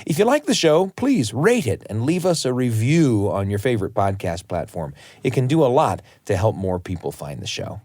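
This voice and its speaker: A man's voice: medium pitch, very animated, normal speed, few pauses, darkish tone, slight background noise. An American man co-hosting a podcast in a conversational presenting style. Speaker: podcast co-host. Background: faint music.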